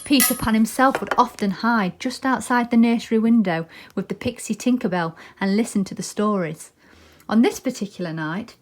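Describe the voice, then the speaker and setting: Woman reading a children's story. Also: medium pitch. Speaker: storybook reader. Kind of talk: expressive children's story narration.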